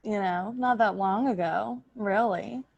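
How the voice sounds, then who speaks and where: A woman's voice: medium pitch, a little slow, vocal fry, darkish tone, lo-fi sound, pitched below the performer's own voice. A woman playing a gushing, self-absorbed TV starlet in improvised comedy, effusive and earnest, often repeating herself. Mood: earnest, puzzled.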